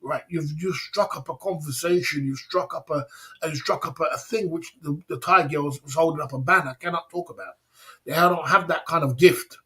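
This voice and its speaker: A man's voice: on the high side, moderate pace, a bit bright. Boastful man, livestream talk. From England. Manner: repetitive, boastful, acts out dialogues. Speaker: livestream host.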